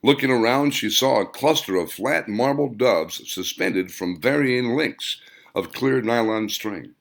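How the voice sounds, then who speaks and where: A man's voice: mid-range voice, a little slow, creaky. A man reading his own novel aloud as scripted audiobook narration.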